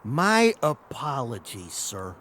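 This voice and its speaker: A man's voice: mid-range voice, huge pitch swings, slow pace, a bit bright, heavily acted. A man playing a polite, formal courier who serves a vampire prince in an audio drama, obsequious in manner. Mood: meek, apologetic.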